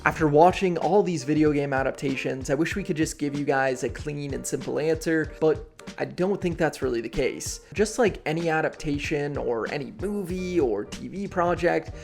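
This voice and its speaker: A man hosting a video essay, giving frank, informal, halting commentary straight to the viewers. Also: a little high, creaky, soft background music. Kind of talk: candid casual commentary to the viewers.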